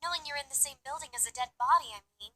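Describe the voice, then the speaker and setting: A woman's voice: sits high, racing, pushed and bright. A woman voicing a friendly, easygoing young shop girl in a visual novel, speaking conversationally with some hesitation. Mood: wry.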